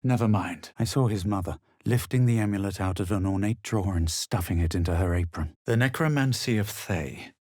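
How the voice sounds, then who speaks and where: An actor voicing a self-assured, haughty adventurer and spellcaster in a fantasy video game, with a husky voice and measured, theatrical delivery. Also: male voice, deep voice, a little mellow, no room sound, studio quality. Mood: wary, brooding. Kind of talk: fantasy video game voice lines, combat barks and narrated recollections.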